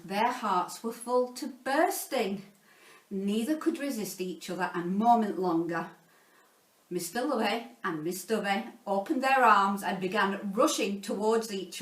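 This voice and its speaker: A woman reading a children's story aloud in an expressive, playful, theatrical way, like a teacher narrating to pupils. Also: mid-range voice. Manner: theatrical, playful, dramatizing sound words. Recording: roomy echo, distant from the mic.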